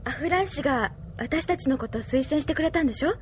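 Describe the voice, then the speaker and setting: A woman's voice: high-pitched, animated, fast, a little hiss or hum. A woman playing a sweet, earnest young staffer devoted to her leader in an audio drama, polite and girlish. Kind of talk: anime-style audio drama acting.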